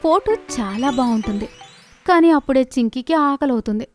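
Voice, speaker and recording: A woman reading scripted narration for an animated children's story. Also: high-pitched, moderate pace, soft background music.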